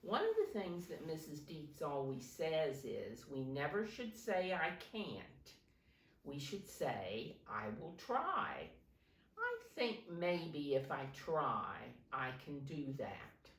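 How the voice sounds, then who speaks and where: A woman reads a children's storybook aloud at an unhurried pace, her pitch rather low but swinging expressively. She speaks simply and deliberately to young listeners, a little distant from the microphone in a roomy space. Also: wildly swooping pitch, fairly bright tone. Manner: simple, deliberate phrasing addressed to young children, with asides to show pictures. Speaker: teacher reading a picture book to children.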